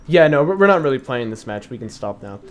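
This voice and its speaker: A young man streaming and explaining strategy in a casual, chatty way, thinking aloud with fillers and laughs; his voice is slightly raspy from long talking. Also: mid-range voice, sing-song, fast talker, faint music behind. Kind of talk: casual live-stream commentary.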